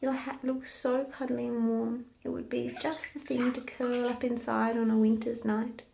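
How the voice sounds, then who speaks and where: Storybook mouse, female reader: tiny, meek, sad, softly spoken and high. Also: level tone, normal speed, creaky, a little hiss or hum.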